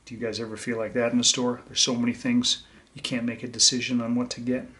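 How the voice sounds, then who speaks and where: A man's voice: mid-range voice, flat delivery, fast, a bit bright. A man reading a children's story aloud and talking to young listeners in a simple, explanatory way. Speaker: teacher reading a picture book aloud to children.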